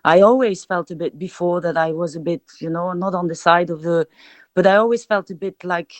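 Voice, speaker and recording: Reflective woman from Belgium, low voice. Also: a little fast, dry recording. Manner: reflective, many restarts, speaks of feeling and energy. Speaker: DJ guest. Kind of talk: podcast interview.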